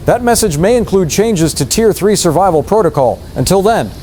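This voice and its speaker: A man playing a calm, formal news anchor reading an emergency bulletin in a radio drama. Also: high-pitched, slight background noise. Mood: grave, official. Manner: formal, measured.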